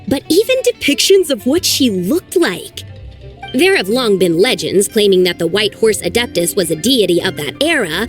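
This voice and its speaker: A woman voicing an earnest young woman archaeologist who talks anxiously and sighs over her troubles. It is game dialogue. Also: on the high side. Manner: earnest and sighing. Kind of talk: game voice acting.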